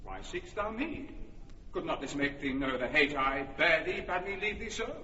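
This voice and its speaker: A man's voice: on the high side, expressive, moderate pace, sharp tone, in a large hall, muffled recording, slight background noise. An actor playing an earnest, lovestruck young man in a classic radio drama, pleading with passion.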